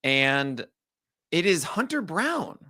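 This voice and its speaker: Male podcast co-host, excitable and jokey, bright tense tone, close dry mic. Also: fairly high pitch, takes it slowly, no room sound. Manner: animated, joking, lots of "like" fillers. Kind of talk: casual baseball analysis chat.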